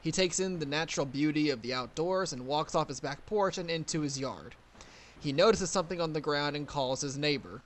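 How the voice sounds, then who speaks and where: Male narrator for a play reading, moderately high voice, scripted stage-direction delivery. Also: expressive, a little fast, slight background noise.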